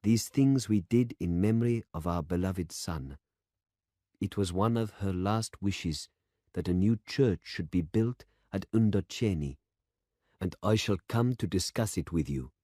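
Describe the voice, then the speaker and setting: A grieving older gentleman writing a formal letter, read by a male narrator. The voice is low, dark and mellow, measured and restrained, and recorded close and dry. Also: dry recording.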